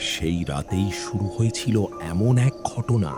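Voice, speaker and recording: Male horror narrator, low and unhurried, heavy music and night sounds behind. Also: lively intonation. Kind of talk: slow scripted backstory narration. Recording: loud music, crickets, thunder behind voice.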